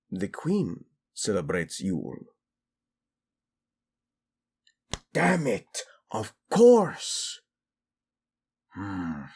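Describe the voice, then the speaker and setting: Courtly prince, male voice actor: slow, husky, imperious yet teasing, romantic audio drama over music. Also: mid-range voice, a little mellow, heavily acted. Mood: puzzled, irritated, then courteous, measured. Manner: formal, deliberate speech sprinkled with Spanish. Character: haughty, teasing Spanish-speaking fantasy prince, courtly and imperious. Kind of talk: scripted romantic audio roleplay.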